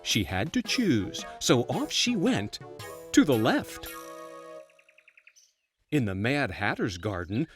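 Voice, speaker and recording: A storyteller narrating a bedtime fairy tale in an expressive, playful storybook manner. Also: mid-range voice.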